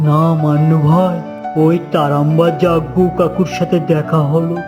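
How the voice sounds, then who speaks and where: A man as an earnest, slightly high-voiced cartoon boy. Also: flat delivery, moderate pace, lo-fi sound, natural voice. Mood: reassuring. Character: earnest, obedient village boy, eager to please. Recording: music behind the voice.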